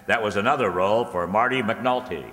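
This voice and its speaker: A man's voice: lowish voice, brisk, a bit bright. A man working as a show announcer, reading scripted closing credits. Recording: echoey hall, audience and clear background noise.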